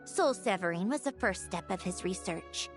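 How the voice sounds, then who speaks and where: A woman's voice: on the low side, animated, normal speed, faint music behind, close to an everyday voice. An actress voicing a self-assured, clever young woman in a video game, explaining things in a confident, dryly teasing way. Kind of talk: game voice acting.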